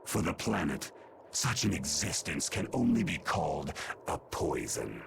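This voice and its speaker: Ancient, gravelly, deep-voiced imperious creature. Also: male voice, big pitch swings, unhurried, background noise, full character voice. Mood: stern judgment. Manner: formal, solemn, archaic phrasing. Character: ancient, stern, imperious great creature judging humans. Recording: game music behind the voice.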